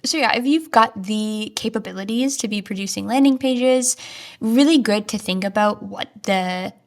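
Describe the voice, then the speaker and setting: A woman's voice: mid-range voice, moderate pace. A woman hosting a solo marketing podcast, talking in an upbeat, chatty way with frequent casual fillers. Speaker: podcast host.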